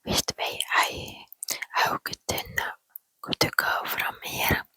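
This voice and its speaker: A woman's voice: takes it slowly, dry recording. A whispering polite little girl, read by a woman. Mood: curious. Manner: soft whisper. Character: polite curious young girl. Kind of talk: ASMR storybook reading.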